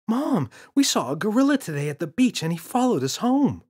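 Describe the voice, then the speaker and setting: A man voicing an excited, pleading little boy in a children's storybook, frantic and insistent. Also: high voice, a little fast, slightly rough, pitched above the performer's own voice, full character voice. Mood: frustrated, pleading.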